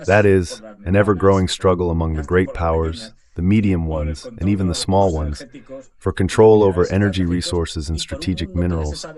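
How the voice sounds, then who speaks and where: A man's voice: low voice, a little mellow. A synthetic male voice delivering translated political commentary, with original speech heard underneath. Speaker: AI voice reading the guest's English translation. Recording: other voices murmuring underneath. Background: original Spanish speech underneath.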